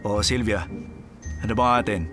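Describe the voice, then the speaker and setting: A man's voice: lowish voice, moderate pace, music in the background, natural voice. A man playing a charming, easygoing policeman in a radio drama, playful and casual. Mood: amused. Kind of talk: Filipino radio drama acting.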